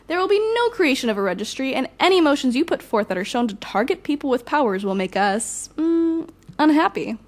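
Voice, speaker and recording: A woman playing a smooth-talking young supervillain in an audio drama, composed, wry and mock-polite. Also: high voice, big pitch swings, brisk. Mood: slyly threatening. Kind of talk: audio drama villain acting.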